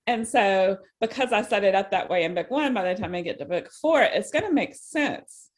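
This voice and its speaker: A woman author chatting as a podcast guest in a casual, halting way, thinking aloud as she goes. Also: mid-range voice, expressive. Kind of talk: casual interview chat.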